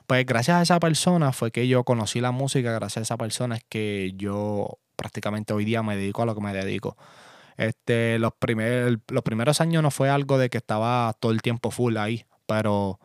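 A man's voice: medium pitch, a little fast, clear, resonant tone, dry recording. Chatty Puerto Rican man, dry studio sound. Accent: Puerto Rican Spanish. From Puerto Rico. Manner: relaxed, chatty, frequent fillers and asides. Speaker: podcast host and music producer. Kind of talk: casual solo podcast monologue. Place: home studio.

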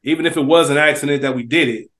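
A man co-hosting a podcast, reasoning out loud in a quick, animated way about a TV show. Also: a little high. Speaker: podcast co-host. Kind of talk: casual TV recap chat.

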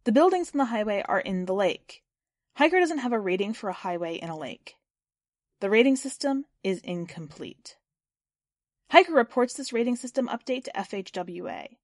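A woman's voice: mid-range voice, no echo, clean studio sound. A woman reading scripted fiction and hosting a story podcast. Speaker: podcast host and story reader.

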